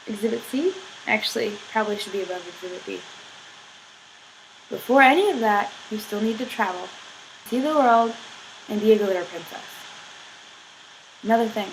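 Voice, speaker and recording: Chatty young woman with a sick, husky voice. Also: on the high side, slight background noise, poor audio. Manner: chatty, filler-heavy, giggly, says "like" constantly. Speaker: vlogger. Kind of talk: rambling casual vlog rant.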